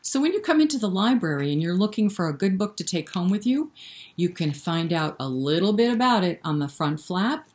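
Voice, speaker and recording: A woman's voice: fairly low pitch, sing-song, brisk, a little mellow. A woman hosting a story time for young children, speaking directly to them with questions and encouragement. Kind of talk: interactive read-aloud for young children, with singing.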